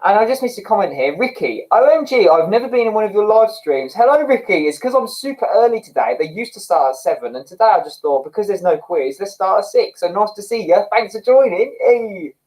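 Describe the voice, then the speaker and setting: A man's voice: sits high, animated, fairly quick, hardly any pauses, a little mellow, poor audio. A man hosting a casual livestream from home, reading viewer comments, chatty and self-mocking and often laughing. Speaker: livestream host. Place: home, indoors.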